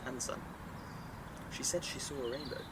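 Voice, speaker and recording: An actor playing a calm, reasonable, reflective man in a naturalistic audio drama, speaking plainly and thoughtfully. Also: medium pitch, moderate pace, heavy background noise, close to an everyday voice.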